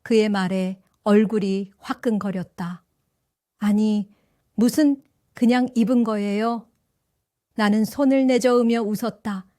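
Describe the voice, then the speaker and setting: Gentle older woman telling her story. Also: mid-range voice, fairly even intonation, slow pace, no echo, clean studio sound. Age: 70s. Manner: gentle, reflective, wistful. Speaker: first-person narrator. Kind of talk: storytelling narration for an audio drama.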